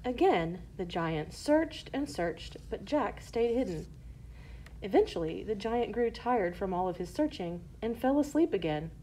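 A woman storyteller reading a scripted children's tale in measured phrases. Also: mid-range voice, lively intonation, dark tone, a little hiss or hum, low-quality recording.

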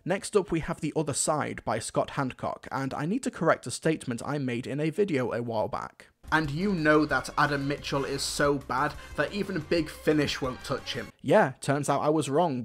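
Man, young adult, scripted review, conversational, opinionated, medium pitch. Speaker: video reviewer.

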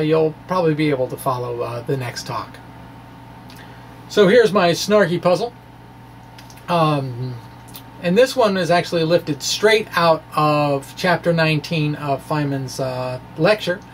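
A man's voice: a little high, a little slow, vocal fry. A man in his forties presenting a physics lecture in a playful, wry way, moving between scripted reading and informal explaining. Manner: playful, wry dramatic delivery. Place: small indoor room with bookshelves. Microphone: microphone on a stand close in front. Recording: faint steady background noise.

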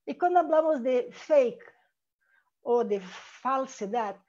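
A middle-aged woman with a Brazilian accent, a native Portuguese speaker, presenting academically and haltingly on a dull-sounding video call. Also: sits high, animated, warm tone. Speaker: academic panelist, researcher. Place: room with bookshelves indoors. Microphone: earphone mic on video call.

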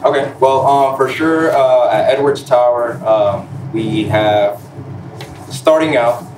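A man's voice: mid-range voice, slow. A man in his twenties from Puerto Rico and Florida, explaining his job as an airman on a panel, politely and off the cuff. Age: 20s. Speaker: panel guest, air traffic controller in the Air Force. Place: large indoor room, seated on a stage. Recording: roomy echo, distant mic, noisy room.

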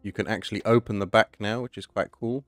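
Hoarse guy, lowish voice, rambling gameplay commentary, bit of music behind. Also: steady tone, fast talker, a bit bright, no echo, studio quality. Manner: chatty, thinking aloud, self-correcting. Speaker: gaming channel host.

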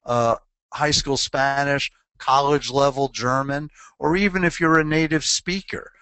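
A man's voice: medium pitch, steady tone, measured pace, sharp tone, smooth, dry recording. A man presenting a webinar on legal compliance, answering a question earnestly and posing rhetorical questions.

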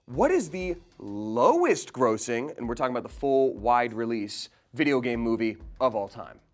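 A man's voice: medium pitch, sing-song, normal speed, darkish tone. A young man with a theatrical, jokey way of talking, playfully hosting a quiz show. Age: young adult. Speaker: game show host. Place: indoor studio set.

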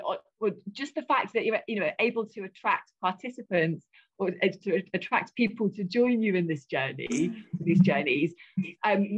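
A woman academic speaking as a panelist in an online discussion, musing and animated. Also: medium pitch. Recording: dull lo-fi video call audio.

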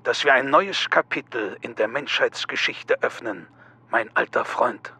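An actor playing a sinister, taunting cult leader in an audio drama, measured, menacing and husky. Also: male voice, medium pitch, pushed and bright, dry recording, heavily acted. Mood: solemn, taunting. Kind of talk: German audio drama acting.

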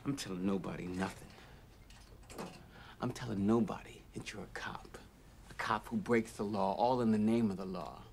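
A man's voice: fairly low pitch, steady tone, brisk, vocal fry, few pauses, a little mellow. An actor playing a sly male prison inmate who mocks and rambles, swearing as he talks. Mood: hushed scornful. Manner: mocking, rambling, profane. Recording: noisy background.

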